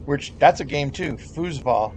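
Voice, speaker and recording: A man's voice: on the high side, lively intonation, unhurried, darkish tone, a little hiss or hum, rough recording. A middle-aged man chatting casually over game voice chat, rambling, laughing often and swearing freely. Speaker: gamer in voice chat.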